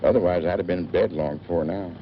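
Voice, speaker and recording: A man's voice: low voice, steady tone, fast, lightly acted. An actor playing a chatty small-town newspaperman in an old film, with an American accent and a folksy, rambling manner in clipped sentences. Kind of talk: 1940s film acting. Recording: dull old film soundtrack, faint noise. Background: car engine.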